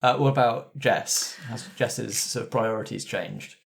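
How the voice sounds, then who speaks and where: A man hosting a casual post-game discussion as game master, retelling things in an animated way and putting questions to the players. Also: medium pitch, measured pace, creaky.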